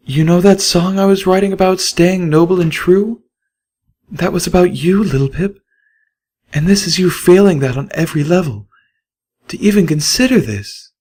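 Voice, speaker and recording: A man voicing an idealistic young mare healer, high-pitched and earnest in an audiobook reading. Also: moderate pace, darkish tone. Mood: pleading.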